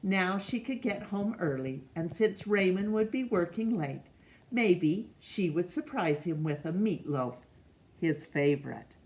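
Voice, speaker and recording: A middle-aged woman reading a picture book aloud in a measured, expressive way for children. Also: on the low side, low-quality recording. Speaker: storytime reader. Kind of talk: read-aloud storybook narration. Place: small room indoors.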